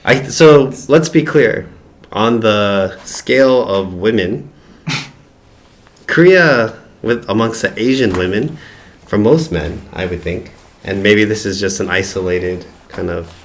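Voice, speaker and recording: A man's voice: on the low side, slight background noise. A man from the United States hosting a casual livestream chat, blunt and teasing with his guest. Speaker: channel host.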